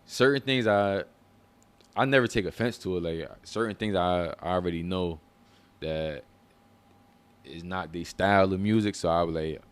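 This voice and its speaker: A man's voice: moderate pace, long pauses, smooth. A man, answering interview questions about his music in a laid-back, slangy way. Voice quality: deep voice. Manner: laid-back, slangy, loose phrasing with many fillers. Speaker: rapper and musician.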